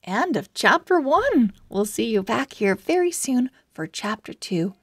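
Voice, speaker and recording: A woman reading a children's book aloud as host, with deliberate, expressive phrasing for young readers. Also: fairly high pitch, dry recording, studio quality.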